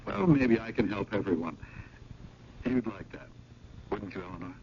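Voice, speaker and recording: Eager, rambling schemer, muffled radio. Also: male voice, fairly low pitch, sing-song, normal speed. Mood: breezy, insistent. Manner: hesitant, rambling, ingratiating. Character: eager, evasive younger brother and fiancé with schemes. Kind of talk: 1970s radio drama acting. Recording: muffled, noisy old radio broadcast.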